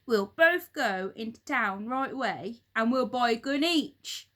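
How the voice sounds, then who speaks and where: A woman voicing a furious, nasty old man from a children's storybook, shouting and barking orders like a bully. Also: on the high side, lively intonation, measured pace. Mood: excited, scheming.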